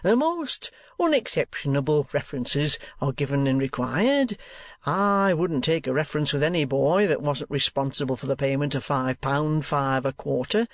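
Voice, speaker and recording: Man acting a pompous, high-pitched oily schoolmaster. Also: animated, normal speed, no room sound. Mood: self-important. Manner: pompous, oily sales patter. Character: boastful, ingratiating, hypocritical schoolmaster salesman, middle-aged man.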